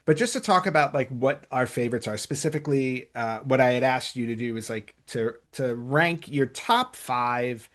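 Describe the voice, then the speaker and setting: A man with a mid-pitched voice hosts a podcast in a casual, conversational way. He rambles a little, often backtracking and rephrasing partway through a sentence. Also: moderate pace, few pauses, fairly bright tone. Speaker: podcast host. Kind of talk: casual conversational podcast talk about entertainment news.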